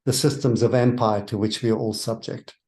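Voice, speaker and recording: A man's voice: lowish voice, fairly even intonation, a little fast, a little mellow. A South African man, a church worker and author, answering interview questions in a thoughtful, earnest way and stressing his key points.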